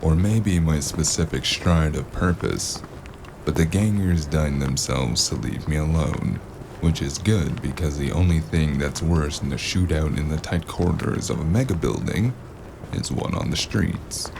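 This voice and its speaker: A man acts out a weary noir investigator with a rock-bottom, creaky voice. He is sardonic and deadpan, brooding through his audio drama narration over clearly audible background noise. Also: rumbling low, unhurried, heavily acted. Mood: brooding, wry. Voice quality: gravelly.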